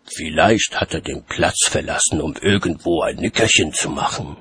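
Man playing a gruff, very husky weary watchman. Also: a bass voice, normal speed, fairly bright tone, no room sound, pitched below the performer's own voice, heavily acted. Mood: dry, awkward. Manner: gruff, dry, sarcastic. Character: grumpy, sardonic, weary male city watchman.

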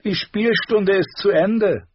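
A man's voice: high-pitched, slow, lo-fi sound, noisy background, voice pushed up. A man voicing a spoiled boy prince who is bored, arrogant and mocking. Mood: smug taunting.

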